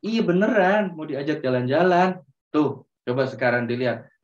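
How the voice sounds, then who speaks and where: A man telling a story to children in a gentle, drawn-out way, often turning to them as friends. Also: a little high, animated. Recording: roomy, distant, dull sound.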